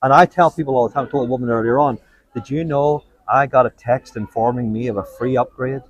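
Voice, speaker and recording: A man's voice: mid-range voice, fast. A man preaching on the street, earnest and persuasive, quoting scripture and joking with the people he stops. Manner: earnest, persuasive, quotes scripture, jokes with passers-by. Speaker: street evangelist. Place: outdoors on a street.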